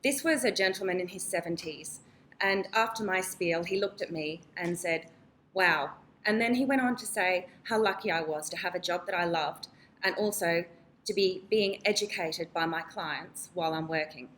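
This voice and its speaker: A woman's voice: on the low side, normal speed, fairly bright tone, a little hiss or hum. A woman giving a prepared, chatty talk as a nurse introducing and interviewing a panel guest. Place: event room with an audience.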